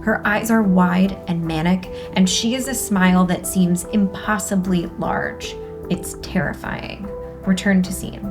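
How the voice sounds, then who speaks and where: A woman's voice: on the low side, fairly even intonation, a little slow, music in the background. A woman reading screenplay stage directions as scripted narration at a table read.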